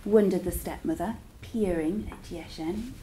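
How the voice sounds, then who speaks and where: A woman reading a story aloud to children as a teacher, in an expressive, deliberate storytelling style with drawn-out words. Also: fairly low pitch, slight background noise, rough recording.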